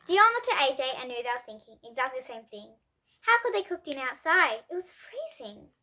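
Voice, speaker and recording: Lively, high-pitched young girl reading aloud. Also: fairly quick. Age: child. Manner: expressive, with emphatic character dialogue. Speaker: young presenter reading a children's book. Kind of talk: read-aloud bedtime story.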